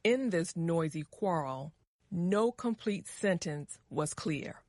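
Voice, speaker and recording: A woman with an American accent narrating a story in a measured, carefully enunciated way for English learners. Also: fairly low pitch, expressive, darkish tone, no echo, studio quality.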